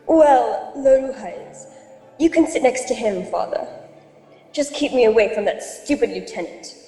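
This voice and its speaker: Snobbish society lady, indignant, high, swooping pitch, echoey hall, radio drama. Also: expressive, moderate pace, warm tone, soft background music. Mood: snippy. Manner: indignant, snippy. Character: haughty, easily offended society lady.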